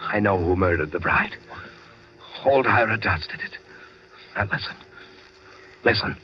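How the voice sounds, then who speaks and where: An actor playing a desperate, brooding condemned prisoner in 1940s radio suspense style, theatrical and intense. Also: male voice, lowish voice, lively intonation, unhurried, slight background noise. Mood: urgent. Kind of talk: 1940s American radio suspense drama acting. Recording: dull vintage radio recording, faint music.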